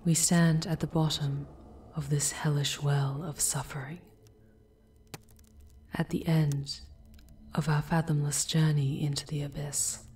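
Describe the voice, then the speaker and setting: A woman playing an ancient, protective female demon in an ASMR audio drama, speaking in a breathy, formal, deliberate hush. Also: sits low, flat delivery, slight background noise. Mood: solemn, hushed.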